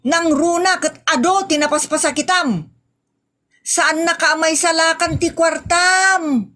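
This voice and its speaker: A woman's voice: very high voice, fairly even intonation, a little slow, pushed and bright, exaggerated voice. A woman acting a fiery, scolding, threatening character in an Ilocano-accented comedy drama. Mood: angry, threatening. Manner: threatening, reproachful.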